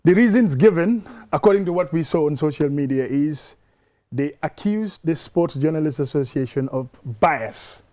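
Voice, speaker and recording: A man with a Gambian accent talking through sports matters in an explanatory, measured, conversational way, as a journalist on a talk show. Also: fairly high pitch, animated, mellow, no echo.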